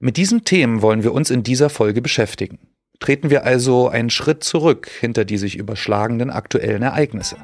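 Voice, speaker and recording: A man's voice: mid-range voice, animated, dry recording. Man hosting, dry and close. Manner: measured, reading from a script. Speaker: podcast host. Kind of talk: scripted podcast narration.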